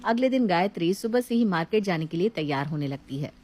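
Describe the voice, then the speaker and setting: A woman's voice: on the low side, lively intonation, fast talker, a little mellow. Woman narrating a story over music. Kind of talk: scripted moral story narration.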